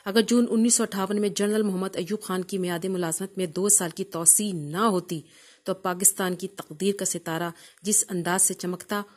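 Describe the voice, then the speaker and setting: A woman with a Pakistani accent narrates a literary memoir in a measured, formal storytelling manner. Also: mid-range voice, a little mellow. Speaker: audiobook narrator reading a memoir.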